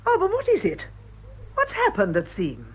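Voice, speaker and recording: An actress playing a brisk, insistent, well-spoken English clergyman's wife with a husky voice in a radio drama. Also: very high-pitched, wildly swooping pitch, dull audio, full character voice. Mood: hurried, insistent. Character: brisk, watchful, bossy wife of a canon. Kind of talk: British radio drama acting.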